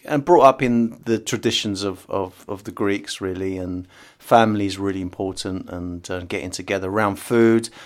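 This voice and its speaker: A man's voice: on the low side, normal speed, few pauses. A middle-aged man from the UK, a podcast guest talking casually with many fillers and restarts. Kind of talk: casual interview conversation.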